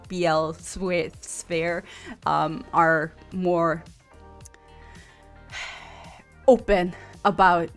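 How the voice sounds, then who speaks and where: A woman, a podcast co-host in a casual interview, who talks hesitantly with many fillers and frequent laughs. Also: lowish voice.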